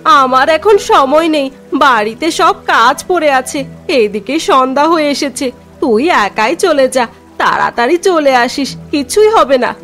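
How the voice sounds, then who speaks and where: Woman voicing a brisk gossiping neighbor. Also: high-pitched, animated, fairly bright tone, soft background music.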